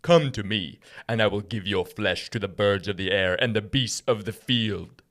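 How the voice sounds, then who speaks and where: A huge, boastful warrior voiced by a man taunts in a deep, low register. He is menacing, in a storybook scripture drama. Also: normal speed, a bit bright, natural voice. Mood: menacing, taunting.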